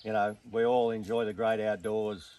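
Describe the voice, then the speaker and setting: Older Australian man, slow and hesitant, lowish voice, distant outdoor mic. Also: flat delivery, a little mellow. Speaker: farm stay owner. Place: outdoors by a river. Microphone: camera mic from a few metres away.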